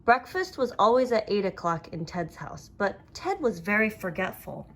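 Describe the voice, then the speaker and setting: A woman reading a children's storybook aloud as narrator, with expressive storytelling. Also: mid-range voice, moderate pace. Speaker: storybook reader.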